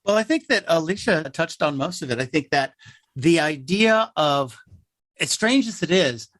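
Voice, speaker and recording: A man's voice: fairly high pitch, animated, normal speed, a bit bright, no room sound. A man speaking conversationally as a theater guest in an interview, telling things like a storyteller.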